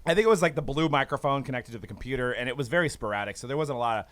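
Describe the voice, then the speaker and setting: A man's voice: medium pitch, animated, rapid-fire, a bit bright, no room sound. A man co-hosting a casual hobby podcast from a studio, upbeat and laughing easily, with frequent filler sounds. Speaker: podcast co-host.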